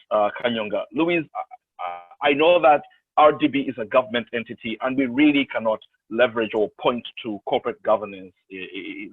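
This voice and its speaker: A man's voice: medium pitch, slightly rough, no echo. A man moderating a panel, who gives conversational responses and hesitates now and then when turning to the next speaker. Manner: conversational, with backchannel affirmations and hesitations. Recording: tinny phone-like line.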